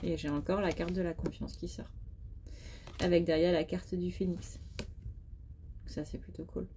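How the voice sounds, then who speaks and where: Femme tireuse de cartes, voix douce et fatiguée. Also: female voice, fairly low pitch, steady tone, moderate pace, warm tone, a little hiss or hum. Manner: informal, blunt, with mild swearing. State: very tired, short of sleep. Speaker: tarot card reader. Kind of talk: casual, chatty tarot reading.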